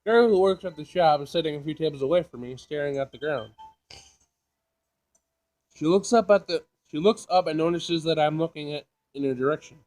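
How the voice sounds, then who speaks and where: A man doing casual playthrough commentary, reading game text aloud, jokey and thinking out loud as he repeats himself. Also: on the high side, brisk, rough recording. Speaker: gaming video host.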